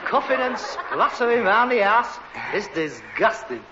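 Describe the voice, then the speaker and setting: Swooping, sarcastic Cockney son. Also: male voice, high voice, big pitch swings, measured pace, sharp tone, heavily acted. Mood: disgusted, exasperated. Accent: London Cockney. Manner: sarcastic, mock-refined. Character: exasperated, sarcastic adult son with aspirations, rag and bone man. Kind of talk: vintage British sitcom acting. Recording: dull, noisy vintage broadcast recording.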